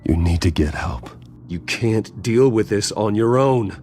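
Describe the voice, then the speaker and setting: An actor playing a brooding, composed, loyal wealthy businessman, speaking in a measured, earnest way for a video game. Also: lowish voice, darkish tone, faint music behind, close to an everyday voice. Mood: urgent concern. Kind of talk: dramatic video game acting.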